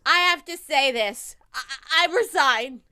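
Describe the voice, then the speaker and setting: A woman's voice: sits high, measured pace, bright, forceful tone. A woman improvising a comedy scene over a video call, talking in a flustered, stammering way. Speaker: improv performer.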